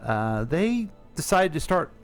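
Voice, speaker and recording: A man's voice: fairly high pitch, very animated, slow pace, clean tone, soft background music. A man hosting a radio chat in a folksy, talkative way, speaking to listeners as folks. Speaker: radio host.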